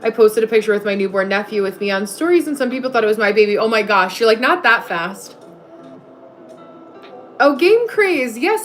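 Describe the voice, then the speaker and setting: A woman hosting a livestream in a casual, chatty way, replying to comments and breaking off to greet viewers. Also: fairly high pitch. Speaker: livestream host. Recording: music playing behind the voice. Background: music.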